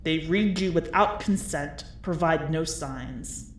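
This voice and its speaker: A grim prophetic figure played by a woman from a dramatic story reading. The delivery is slow and incantatory, bitter and commanding, in short dark warnings. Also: sits low, reverberant, slight background noise. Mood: ominous, bitter. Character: ominous, bitter prophetic voice warning a fellow prisoner.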